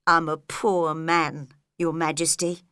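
A woman voicing a frightened, timid male hatter who speaks slowly and shakily. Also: medium pitch, very animated, sharp tone, dry recording, studio quality, exaggerated voice. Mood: nervous. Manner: slow and shaky. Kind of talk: storybook character voice.

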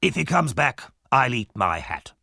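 A man voicing a fierce, argumentative old gentleman in a storybook, scornful and challenging. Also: mid-range voice, big pitch swings, unhurried, creaky, no echo, studio quality, exaggerated voice. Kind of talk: audiobook character reading.

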